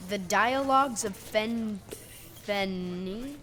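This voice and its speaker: A woman's voice: mid-range voice, slow, fairly bright tone, a little hiss or hum. A female performer playing a reluctant, unimpressed schoolchild in an audio drama, hesitant and unenthusiastic.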